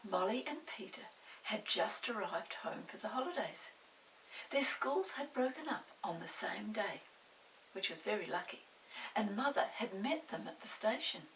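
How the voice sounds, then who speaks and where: A woman's voice: mid-range voice, expressive, normal speed, a bit bright. An older woman, a grandmother hosting storytime, reading to children with simple explanations and chatty questions. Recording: hissy, distant room microphone.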